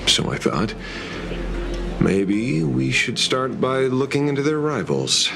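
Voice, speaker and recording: A man playing a wry, world-weary but sharp private detective in a video game, speaking in a dry, musing way. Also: mid-range voice, sing-song, takes it slowly, vocal fry, music in the background, full character voice. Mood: wry, focused.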